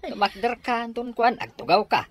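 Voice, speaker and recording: A woman's voice: on the high side, big pitch swings, brisk, crowd noise. A woman acting a practical older spouse who chides and reassures in a radio drama. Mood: chiding, reassuring.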